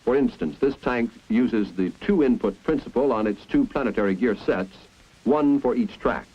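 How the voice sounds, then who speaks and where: A man's voice: mid-range voice, normal speed, husky. An American man narrating a 1950s instructional film in a didactic, explanatory way. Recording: dull, muffled 1950s film soundtrack.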